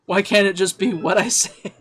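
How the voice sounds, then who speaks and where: Guy streaming from home, high voice, rambly and joking at himself, laughs a lot. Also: fairly even intonation, normal speed, a bit bright, husky. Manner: rambling, self-deprecating, laughs easily. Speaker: game streamer. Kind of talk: casual chat while playing and reading chat.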